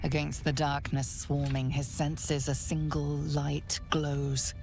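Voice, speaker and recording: An actress playing a grave, ominous fantasy game narrator, speaking in a hushed, dramatic way. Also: female voice, deep voice, flat delivery, slow pace, vocal fry, soft background music, full character voice.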